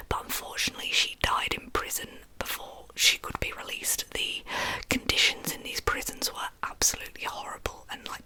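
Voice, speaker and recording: A woman telling true crime history in ASMR style as host, in a breathy, soft whisper with casual asides. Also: rough recording.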